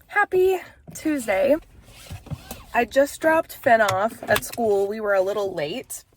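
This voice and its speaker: A woman's voice: a little high, sing-song, slow pace, a little hiss or hum, rough recording. A woman in her thirties with a stuffy, congested voice, talking frankly and casually to camera with frequent fillers. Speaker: vlogger and author.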